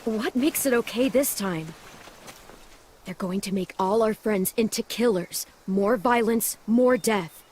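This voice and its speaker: A woman playing a tough, protective teenage girl in a dramatic video game, plain-spoken, steady and earnest. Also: mid-range voice, measured pace, a little hiss or hum, lightly acted. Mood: firm, pleading. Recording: music under the voice.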